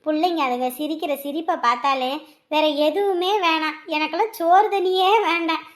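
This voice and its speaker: Woman acting a doting, high-voiced older village woman. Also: moderate pace, echoey room. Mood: conciliatory insisting. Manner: coaxing, conciliatory. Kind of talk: family drama acting.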